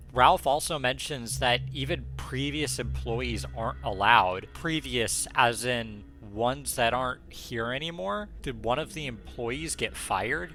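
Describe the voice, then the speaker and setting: A man's voice: mid-range voice, fairly bright tone. A man giving scripted, suspenseful narration as a video essayist. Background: background music.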